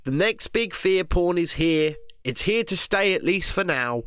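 A man's voice: high-pitched, animated, measured pace, a bit bright, clean tone, dry recording. A man from England chatting casually, swearing and ranting, laughing as he talks. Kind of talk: casual chat.

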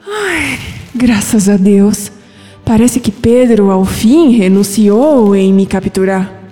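Fearful Brazilian heroine of olden times played by a woman, breathy, formal, mellow, period audio drama with music. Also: mid-range voice, lively intonation, slow, long reverb tail. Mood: hopeful, anxious.

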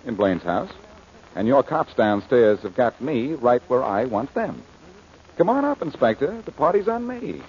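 A man's voice: medium pitch, sing-song, a little slow. A man playing a cocky, wisecracking amateur sleuth in 1940s radio style, glib and teasing. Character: cocky, wisecracking male amateur sleuth, cool and quick-witted. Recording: muffled old-time radio recording, faint noise.

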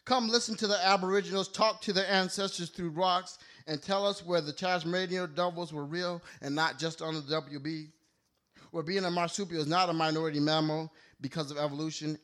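A man performs a slam poem live on stage. His voice sits a bit high. He rolls through rhyming lists with a playful, bouncing rhythm. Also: steady tone, brisk, fairly bright tone. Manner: playful, rhyming, list-driven delivery. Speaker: slam poet. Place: live poetry slam venue.

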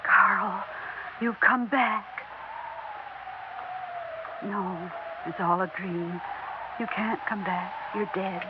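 A woman's voice: mid-range voice, fairly even intonation, very slow, pauses often. An American actress playing a fragile, deluded middle-aged widowed mother in a theatrical, emotionally overwrought 1960s radio drama style. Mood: dazed, desperate. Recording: muffled, noisy 1960s radio recording.

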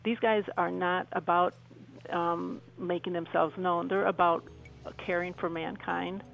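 A woman speaking as a guest on a radio show, telling her personal story in an earnest, halting way with repeated phrases. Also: lowish voice, little pitch movement, creaky, faint music behind, poor audio. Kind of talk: personal account in an interview.

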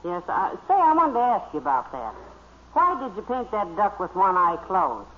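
An actress playing a patient, skeptical American wife in an old radio comedy, with a dry, gently teasing delivery. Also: female voice, mid-range voice, sing-song, moderate pace, lightly acted. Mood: wry, amused. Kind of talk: 1930s-40s radio comedy acting. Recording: dull, hissy old-time radio recording.

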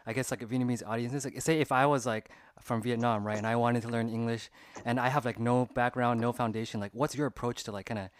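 A man hosting a casual podcast and chatting with a guest, often adding quick agreeing "right, right.". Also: mid-range voice, steady tone, no echo, studio quality. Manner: quick, frequent "right, right" backchannels. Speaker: podcast host.

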